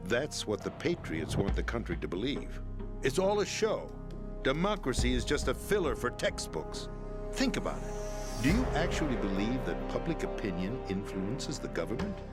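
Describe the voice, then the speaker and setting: An actor playing a disillusioned older president in a video game, speaking in a weary, theatrical, emphatic way. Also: male voice, medium pitch, sing-song, normal speed, over loud music, natural voice. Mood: bitter, emphatic. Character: disillusioned older male president, bitter and power-hungry. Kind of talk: dramatic video game cutscene acting.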